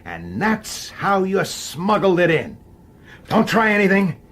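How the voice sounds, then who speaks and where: An actor playing a gruff, irritable, middle-aged police detective in a radio drama, blunt in his delivery. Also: high voice, wildly swooping pitch, takes it slowly, a bit bright, heavily acted. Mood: angry, accusing. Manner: blunt, hesitant, then explosive. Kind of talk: radio drama acting with first-person narration.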